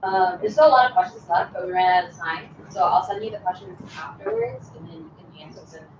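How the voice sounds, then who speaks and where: A woman's voice: on the low side, animated, quick pace, muffled recording, noisy background. Distant woman moderator in an echoey room. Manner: reads questions plainly and evenly. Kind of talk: reading out questions.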